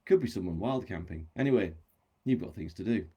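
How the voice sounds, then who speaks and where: A spirited, independent younger British woman in a novel reading, voiced by a man in his own low range. The delivery is brisk and cheeky, with a husky, mellow tone. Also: expressive. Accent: British English. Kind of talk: audiobook-style novel reading.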